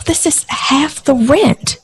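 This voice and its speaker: A woman acting a weary, worried wife and mother in an audio drama, with a breathy, husky voice. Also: high-pitched, takes it slowly, a little hiss or hum. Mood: anxious, pleading.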